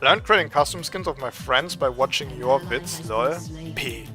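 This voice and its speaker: Excitable German man with a bright voice. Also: medium pitch, a little slow, faint music behind. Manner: excitable, swearing, trash-talking. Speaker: gaming streamer. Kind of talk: casual gameplay commentary.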